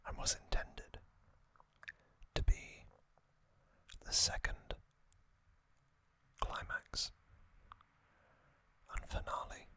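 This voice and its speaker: A host speaks entirely in a soft, unvoiced ASMR whisper, taking a slow, unhurried pace. The tone is thin and bright, with little rise and fall, in a gentle, measured storytelling manner.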